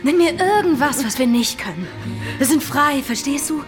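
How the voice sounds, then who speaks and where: Bold young pirate leader lady, highish voice, sure of herself and teasing, audio drama w music under it. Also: animated, normal speed. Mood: impassioned, defiant. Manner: assured, persuasive, teasing. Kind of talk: German audio drama acting.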